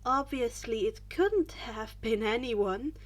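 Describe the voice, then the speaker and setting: A woman with a fairly high voice reads a story aloud. She sounds like an amateur audiobook narrator working from a script, now and then stumbling and picking a phrase up again. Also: lively intonation, slow. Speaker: fan fiction audiobook narrator.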